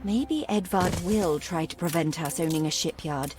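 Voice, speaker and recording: An actress playing a loyal, wry family adviser in a video game, speaking in a practical way. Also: female voice, low-pitched, normal speed, close to an everyday voice. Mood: practical, wary. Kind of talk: video game cutscene acting. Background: music.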